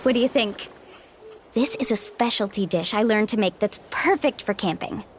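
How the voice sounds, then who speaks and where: A woman's voice: mid-range voice, normal speed, a little hiss or hum, natural voice. An actress voicing a caring young woman who leads her group as their guide in a video game, reassuring and upbeat. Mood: cheerful. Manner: warm, reassuring, leader-like. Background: game music.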